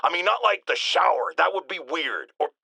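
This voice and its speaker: Blustering, sarcastic male radio host for a game: middle-aged, outraged, high and animated, theatrical monologue delivery, dry studio sound. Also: moderate pace, creaky, breathy edge, no echo, exaggerated voice. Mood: stern, flustered. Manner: pompous, sarcastic, emphatic, theatrical.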